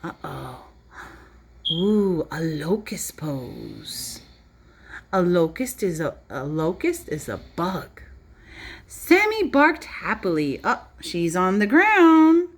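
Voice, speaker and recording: Animated woman teacher reading slowly to children. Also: medium pitch, slightly rough. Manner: expressive, child-directed, often questioning and explaining. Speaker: preschool or early primary teacher. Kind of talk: interactive storybook read-aloud for young children.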